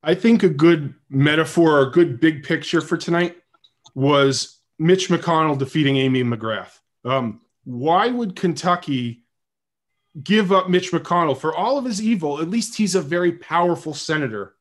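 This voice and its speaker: A man's voice: a little high, measured pace. Chatty joking male podcast host. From New Hampshire. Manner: joking, chatty, thinks aloud. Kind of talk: casual political chat on a livestream.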